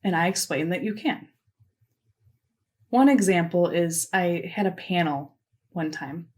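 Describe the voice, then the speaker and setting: A woman voicing a signed conference keynote into spoken English, delivering it in short, measured phrases with pauses. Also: lowish voice, darkish tone. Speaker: sign language interpreter voicing a keynote. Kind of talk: simultaneous interpretation of a conference talk.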